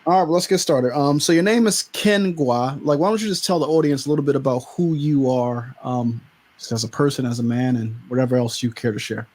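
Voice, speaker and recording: A man's voice: mid-range voice, brisk. A man hosting a casual interview, talking easily with many fillers and restarts. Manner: relaxed, lots of fillers and restarts. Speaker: host.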